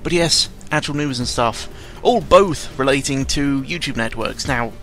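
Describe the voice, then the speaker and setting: A young man giving casual, unscripted commentary in a rambling, halting way, often interrupting himself, with a sarcastic, self-deprecating and exasperated manner. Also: mid-range voice, a bit bright. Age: young adult. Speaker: YouTuber recording an audio log. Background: background music.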